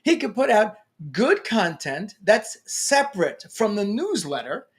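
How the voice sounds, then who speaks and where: Lively New York man giving a pep talk. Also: high-pitched, a little slow. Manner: energetic, emphatic, playful. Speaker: LinkedIn coach. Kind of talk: motivational talk to camera.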